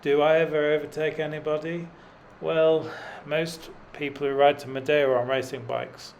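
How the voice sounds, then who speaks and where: Middle-aged man, dry self-mocking vlogger, gravelly tone, cycling outdoors. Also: a little high, level tone, normal speed, a little hiss or hum. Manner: dry self-deprecating humour, many fillers. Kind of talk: rambling self-deprecating commentary while riding. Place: outdoors on a mountain road.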